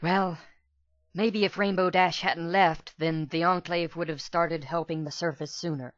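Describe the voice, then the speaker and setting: A man voicing an earnest, soft-spoken young female pegasus pony with a hesitant manner for a storybook. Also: fairly high pitch, fairly even intonation, a little slow, no echo, lo-fi sound, voice pushed up. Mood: regretful.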